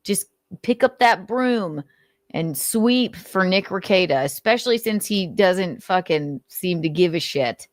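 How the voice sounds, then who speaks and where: A woman's voice: fairly low pitch, animated, measured pace, no echo, clean studio sound. A woman host giving commentary while reading aloud, sardonic and exasperated, with casual swearing. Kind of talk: commentary mixed with dramatic reading.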